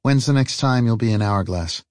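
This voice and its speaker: A man's voice: medium pitch, normal speed, darkish tone, dry recording, studio quality, voice pushed up, close to an everyday voice. A man voicing an easygoing, friendly older male traveler in an audiobook, casual and laconic.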